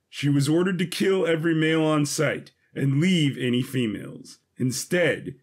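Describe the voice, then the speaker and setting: A man with a husky voice giving a scripted, dramatic narration of an adventure story. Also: medium pitch.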